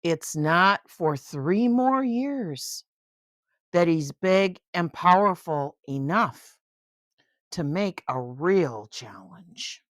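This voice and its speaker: An older woman reading a children's picture book aloud in an expressive, unhurried way, with chatty asides of wonder. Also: on the low side, dry recording, clean studio sound.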